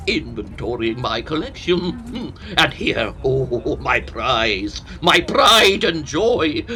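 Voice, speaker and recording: An actor playing a fussy, self-pitying hypochondriac old Victorian professor in a comic audio drama, theatrical and pompous. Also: male voice, fairly high pitch, pushed and bright, a little hiss or hum, exaggerated voice, low-quality recording.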